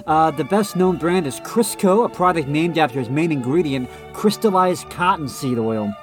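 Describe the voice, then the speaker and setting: A man's voice: a little high, lively intonation, normal speed, faint music behind. A man on a casual podcast with a slightly nasal voice, reading a text aloud and breaking off into blunt remarks of his own. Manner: reads passages then adds blunt off-the-cuff remarks. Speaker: podcast co-host.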